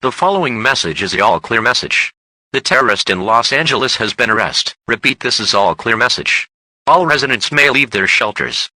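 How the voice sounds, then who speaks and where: Male announcer for emergency bulletins. Very forceful and crisp-edged, formal, impersonal, with dry close sound. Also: mid-range voice, animated, brisk, dry recording, poor audio. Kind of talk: scripted emergency broadcast announcement.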